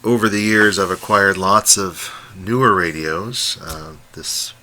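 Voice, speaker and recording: A man giving a casual, unscripted demonstration as a hobbyist presenter, talking in a halting, musing way. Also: low-pitched, pushed and bright. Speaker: radio hobbyist presenting a comparison. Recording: faint background noise.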